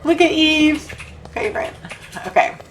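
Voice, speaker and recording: A woman's voice: high-pitched, expressive, a little slow, background noise. A woman in her thirties chatting casually while playing a video game, gushing and joking with her viewers. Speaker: streamer. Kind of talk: casual gameplay chat. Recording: game music playing behind.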